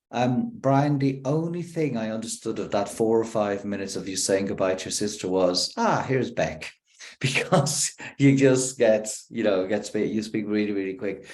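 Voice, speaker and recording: Irish man with a lowish voice. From Ireland. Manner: lively, shifting between quick bursts and deliberate pauses. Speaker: professor giving a communication workshop. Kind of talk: conversational training talk.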